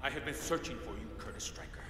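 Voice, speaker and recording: An actor playing a calm, solemn warrior shaman in a video game, speaking in a formal, measured, assured way. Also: mid-range voice, close to an everyday voice. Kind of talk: video game cutscene acting. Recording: echoing hall, faint background noise.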